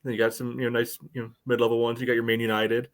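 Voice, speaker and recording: A man co-hosting a casual sports podcast, talking in a loose, halting way with lots of filler phrases. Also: mid-range voice, monotone. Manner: hesitant phrasing peppered with "you know".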